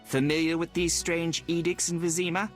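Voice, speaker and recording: An actor playing a shrewd, proud young royal in a video game, speaking formally and with self-assurance. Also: male voice, fairly high pitch, flat delivery, normal speed, soft background music, close to an everyday voice. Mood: shrewd, self-assured. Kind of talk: video game dialogue acting.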